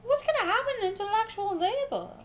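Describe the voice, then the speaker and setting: A woman voicing an excitable philosopher in a theatrical, halting, giggly reading of a debate. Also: extremely high pitch, slight background noise. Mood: earnest, excitable. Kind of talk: dramatic reading of a debate transcript.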